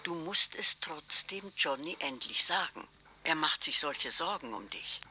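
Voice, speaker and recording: A performer in a German radio drama playing a fond older parent who gently frets and urges. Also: medium pitch, animated, measured pace, slight background noise. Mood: tender, urging.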